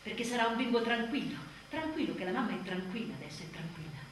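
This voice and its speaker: A woman's voice: fairly high pitch, sing-song, fast. An actress playing an exhausted young mother on the edge of breakdown in a theatrical monologue, repetitive and volatile as she tries to soothe herself. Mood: brittle self-reassurance. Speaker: stage actress. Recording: very echoey, distant, noisy stage recording.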